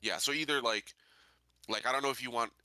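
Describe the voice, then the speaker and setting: A man's voice: mid-range voice, a little fast, pushed and bright, dry recording. A man playing a tabletop game, tentatively piecing his plans together in casual talk.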